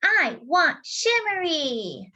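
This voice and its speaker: A woman voicing an eager, delighted little boy in a storybook, with wondering coos and drawn-out pleading. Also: very high-pitched, huge pitch swings, pushed and bright, highs cut off, pitched above the performer's own voice, full character voice. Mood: eager wanting. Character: eager, delighted little boy who loves sparkly things.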